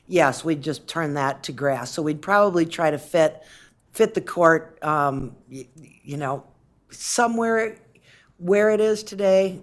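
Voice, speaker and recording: A woman on city staff answering council questions at a meeting, speaking with frequent pauses and restarts. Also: low voice. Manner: hesitant, many fillers and restarts. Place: council chamber.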